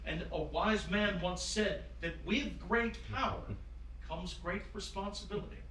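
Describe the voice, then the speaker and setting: Man acting as genial wise founding father, courtly measured phrasing, high bright voice, staged reading, distant roomy recording. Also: a little hiss or hum. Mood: wise, gentle. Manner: courtly, old-fashioned phrasing, measured and avuncular. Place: room at a live staged reading.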